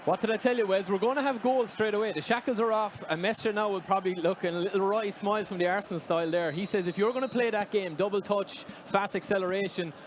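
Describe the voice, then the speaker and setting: A man from Ireland giving excited, chatty color commentary on live esports football. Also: high-pitched, fast, hardly any pauses, a little hiss or hum.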